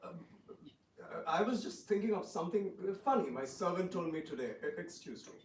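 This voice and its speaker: A man's voice: high voice, expressive, fairly quick. A man plays a sycophantic sidekick king in a stage-style reading. He stammers and dodges nervously. The voice sounds far from the microphone in an echoey room with faint noise. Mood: flustered. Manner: stammering, hesitant, evasive.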